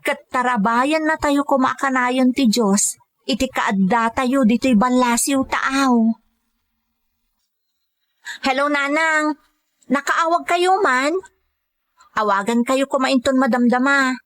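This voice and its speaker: A woman's voice: high voice, takes it slowly, pauses often, fairly bright tone, no room sound, studio quality. A woman with an Ilocano accent playing a devoted, grateful daughter working abroad as a caregiver in a radio drama, speaking earnestly and respectfully. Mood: earnest, weary.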